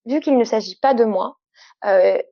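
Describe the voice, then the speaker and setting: Higher-voiced woman, muffled dry sound. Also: normal speed, creaky. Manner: earnest, explanatory, frequent fillers. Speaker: sexologist guest. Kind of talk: casual interview conversation.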